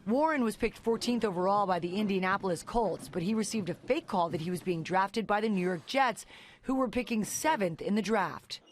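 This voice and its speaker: A woman's voice: mid-range voice, fairly quick, a little hiss or hum. American woman reporting the news. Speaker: news correspondent. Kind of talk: scripted news report narration.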